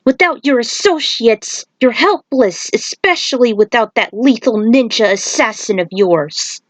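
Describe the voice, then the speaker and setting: A woman's voice: fairly high pitch, expressive, unhurried, no room sound, pitched above the performer's own voice. A woman voicing a vile, gloating male crime boss villain from a fan fiction story. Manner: gloating, menacing.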